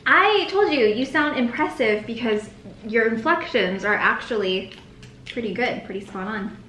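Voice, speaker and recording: A young woman from the United States co-hosting a food vlog, chatting casually at the dinner table, laughing often and saying short phrases slowly for her partner to repeat. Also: on the high side, lively intonation, a bit bright. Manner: laughs often, teaches slow careful phrases. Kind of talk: casual chat. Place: bright dining room indoors, at a table. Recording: echoey room, faint background hiss.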